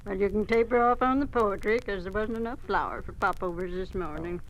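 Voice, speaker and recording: An actress playing a practical, teasing older farm wife in an old-time radio drama, with a homely, gently scolding manner. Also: female voice, medium pitch, fairly quick, natural voice, rough recording. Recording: old radio recording, faint noise.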